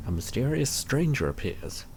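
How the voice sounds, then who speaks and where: Man, animated pitch swings, creaky voice, mock-dramatic reaction commentary, rhetorical questions, faint background noise. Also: moderate pace. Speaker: commentator on a comic dub.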